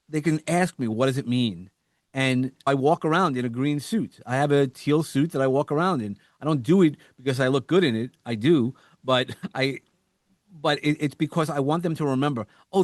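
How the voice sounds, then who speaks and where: A man's voice: on the high side, no room sound. New york guy chatting on a podcast, stumbles over words a lot, dry close mic. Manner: energetic, stammers and repeats words, acts out quotes. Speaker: podcast guest, coach. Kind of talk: casual interview chat.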